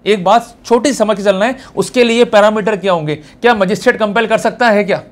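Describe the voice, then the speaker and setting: High-pitched Indian man lecturing emphatically. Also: brisk. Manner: emphatic, addresses listeners directly with checking questions. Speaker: lawyer giving law lectures. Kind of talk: informal explanatory lecture.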